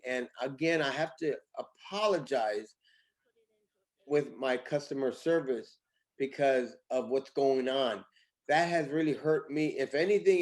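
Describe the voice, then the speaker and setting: Man pitching in a higher-set voice. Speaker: company CEO, interview guest. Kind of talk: casual promotional interview, conversational pitch.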